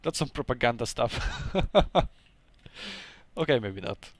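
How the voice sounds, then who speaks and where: A man's voice: medium pitch, expressive, slow pace, no echo. Polish-accented man commentating a game. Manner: chatty, laughs often, hesitant second-language phrasing. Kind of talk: casual gameplay commentary.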